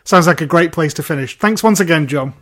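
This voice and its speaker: A man's voice: fairly high pitch, brisk, a bit bright, soft background music. Enthusiastic podcast host, man. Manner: enthusiastic, stammers on repeated words. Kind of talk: casual interview chat.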